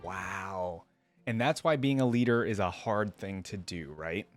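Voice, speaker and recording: A man's voice: low-pitched, measured pace. A man hosting a reaction video, giving casual fan commentary in an informal, earnest way. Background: music.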